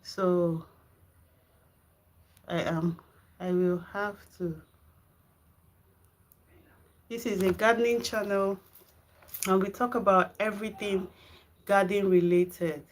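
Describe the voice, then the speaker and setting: A woman's voice: mid-range voice, steady tone, slow, long pauses. A Nigerian woman hosting a gardening live stream, chatting casually with viewers and answering their comments. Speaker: gardening channel host. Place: home garden and kitchen.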